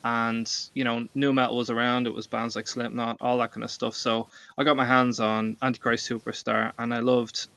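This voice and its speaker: A man's voice: mid-range voice, level tone, moderate pace, creaky, few pauses, bright, forceful tone, no echo. An Irish man telling personal stories casually on a livestream panel, emphatic and given to swearing. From Ireland. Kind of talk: casual chat, personal storytelling. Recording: dull, lo-fi microphone.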